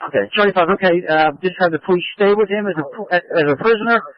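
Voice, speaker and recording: A man's voice: high voice, dry recording, lo-fi sound. A male police supervisor giving curt orders over the radio. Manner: curt rapid commands. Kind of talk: radio commands.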